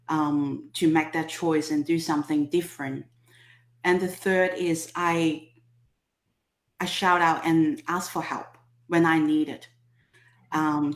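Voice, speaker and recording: Slow-speaking Hong Kong woman, echoey. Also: fairly low pitch. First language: Cantonese. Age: 30s. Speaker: guest, subconscious healing specialist. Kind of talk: personal story in an interview.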